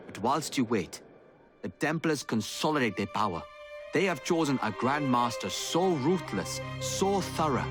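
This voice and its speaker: A grave leader of a hidden order in a video game. He speaks in formal, measured, ominous rhetoric, urging action. Loud cinematic music runs under his voice. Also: medium pitch. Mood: urgent, measured. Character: grave male secret-order leader pleading for urgent action. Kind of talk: dramatic scripted narration.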